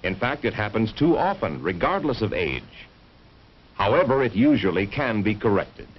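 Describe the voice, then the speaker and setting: A man's voice: fairly low pitch, very animated, moderate pace. A man narrating a vintage 1950s educational film in a scripted, dramatic storytelling manner with rhetorical emphasis. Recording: muffled, hissy old film soundtrack.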